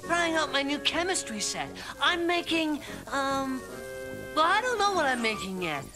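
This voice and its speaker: A woman's voice: high voice, sing-song, measured pace, low-quality recording. A woman voicing an eager, bumbling young boy in a children's cartoon. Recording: loud music behind the voice.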